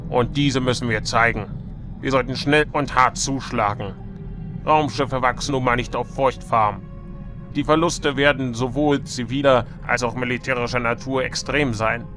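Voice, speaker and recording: A man's voice: medium pitch, fairly bright tone, close to an everyday voice. Man as stern space admiral over music. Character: older male space admiral, stern, sceptical, commanding.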